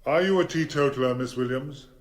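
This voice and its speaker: Man acting a formidable barrister, sardonic, probing, mid-pitched, roomy echo, radio drama. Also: fairly quick, lightly acted. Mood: sardonic, pressing. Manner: insistent, probing cross-examination. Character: formidable male defence barrister, commanding and sardonic. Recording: roomy, echoing courtroom-like sound.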